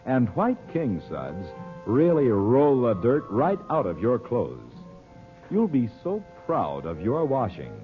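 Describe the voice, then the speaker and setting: Animated radio announcer over music. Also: male voice, mid-range voice. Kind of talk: 1940s radio commercial announcing. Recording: dull old radio recording with music behind.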